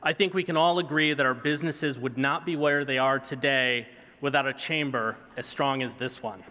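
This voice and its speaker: A man in his forties giving a prepared award acceptance speech from a podium in a large hall, earnest and measured. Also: mid-range voice, fairly even intonation, bright, forceful tone, a little hiss or hum. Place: large banquet hall stage. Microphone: podium microphone. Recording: reverberant hall PA sound.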